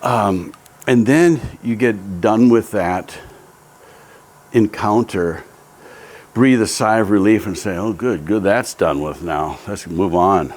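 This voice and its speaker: A man's voice: on the low side, slow pace, darkish tone. A man in his seventies who grew up in Japan tells his life story in a reflective, hesitant way, with many ums and restarts.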